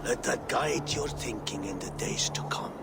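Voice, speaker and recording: Man acting as a gravelly old Viking jarl. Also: mid-range voice, normal speed, whispered, loud music behind the voice, close to an everyday voice. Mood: weary, confiding. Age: older. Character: aging, ailing Viking jarl, proud father rallying his clan. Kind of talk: dramatic video game acting.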